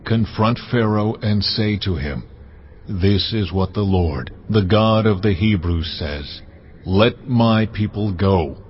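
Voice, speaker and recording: A deep solemn divine voice. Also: male voice, slight background noise. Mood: commanding. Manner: slow, measured, authoritative delivery. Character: God, a solemn commanding divine voice. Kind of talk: dramatized scripture reading. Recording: muffled audio under music.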